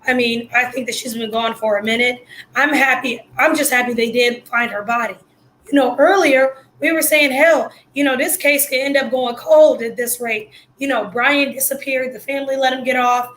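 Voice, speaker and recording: A hoarse woman giving casual, blunt live reaction commentary full of slang. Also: high voice, steady tone, normal speed, fairly bright tone, rough recording. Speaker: livestream commentator.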